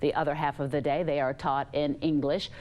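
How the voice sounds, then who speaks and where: A woman anchor reading scripted television news stories in a measured, clear delivery. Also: low-pitched, little pitch movement, darkish tone.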